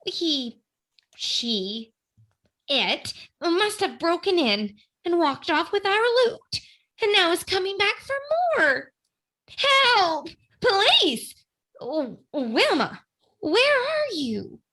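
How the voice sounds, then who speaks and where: Haughty, high-voiced, jittery lady burglar. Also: big pitch swings, extremely slow, sharp tone, heavily acted. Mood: panicked. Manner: affected, grand, theatrical diction. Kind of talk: comic old-time radio play acting.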